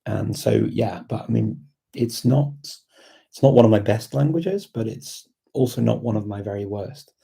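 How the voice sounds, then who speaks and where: A man talks casually to a livestream, in a very mellow, dark voice with some gravel in it. He is reflective and unhurried in his thinking, circling back on words as he works out what he means. His pitch sits a little on the low side. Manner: hesitant, reflective, repeats words while thinking. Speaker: livestream host and language learner. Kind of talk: casual live chat, thinking aloud.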